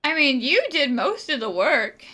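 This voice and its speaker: An actress playing a perky, loyal young female friend in a video game, lively and frank. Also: high-pitched, unhurried, exaggerated voice. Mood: supportive, frank. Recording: soft music behind the voice.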